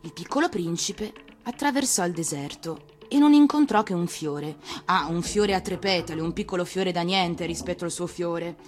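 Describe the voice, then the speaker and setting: A woman reading a children's tale as an actor, in expressive scripted storybook narration. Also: lowish voice, moderate pace, hardly any pauses. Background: music.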